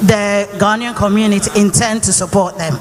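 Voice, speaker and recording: A woman's voice: mid-range voice, steady tone, moderate pace. A woman asking a question from the audience at a public meeting. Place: large hall at public meeting. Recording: reverberant hall with faint music.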